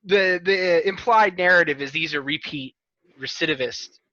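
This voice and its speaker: A man with a piercingly bright, fairly high voice rambles and jokes as a podcast host. He trails off mid-sentence often. The sound is dry and a little muffled, like video-call audio. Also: moderate pace, no room sound. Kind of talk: casual chat on a video call.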